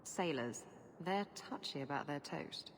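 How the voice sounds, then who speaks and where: A woman's voice: low voice, measured pace. A cheeky seafarer in a fantasy game. Her voice swings up and down freely as she spins casual, playful tales. It sits in long reverb with faint noise behind it. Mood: playful, storytelling. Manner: animated, casual, playful storytelling. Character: breezy, roguish seafaring woman spinning yarns. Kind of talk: dramatic video game acting.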